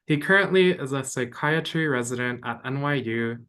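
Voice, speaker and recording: A man hosting a program, reading a formal speaker introduction at a measured pace over a video call. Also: medium pitch, a bit bright, clear, resonant tone.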